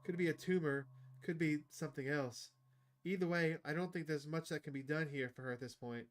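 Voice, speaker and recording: A man's voice: a little high, fairly quick, close to an everyday voice. A man voicing a chatty, upbeat outdoorsy woman who hunts cryptids, reading visual novel dialogue aloud. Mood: concerned, narrating.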